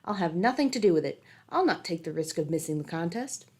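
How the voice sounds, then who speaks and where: A woman's voice: on the low side, lively intonation, a little fast, darkish tone. Woman doing young man, wicked, selfish, curt, storybook.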